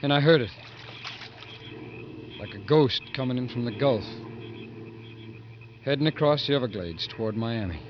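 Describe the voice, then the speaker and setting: A man's voice: medium pitch, lively intonation, normal speed, pauses often, close to an everyday voice. A man acting as a tough, defiant prison trustee in a 1950s American radio suspense drama, terse and hard-boiled. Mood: somber narration. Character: tough, defiant male prison trustee who narrates his story. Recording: muffled, noisy 1950s radio recording.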